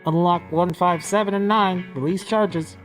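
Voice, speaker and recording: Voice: mid-range voice, fairly even intonation, moderate pace, soft background music, lightly acted, low-quality recording. A reader playing a clipped, formal intercom officer at an amateur space film table read. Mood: official.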